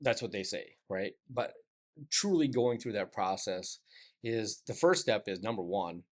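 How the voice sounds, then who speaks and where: A man hosting a casual podcast interview, asking relaxed, friendly questions full of fillers. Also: fairly low pitch, a little slow. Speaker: podcast host.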